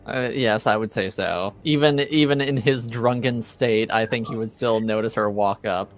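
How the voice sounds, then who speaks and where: A man acting as game master, casually improvising narration in a measured, hesitant manner. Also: on the low side, soft background music.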